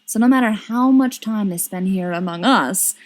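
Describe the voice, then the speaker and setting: A woman's voice: mid-range voice, normal speed, close to an everyday voice. An earnest young wizard played by a woman reader in a fantasy audiobook. He talks persuasively and thoughtfully, with a bitter, aggrieved conviction under the polite manner. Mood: thoughtful, persuading.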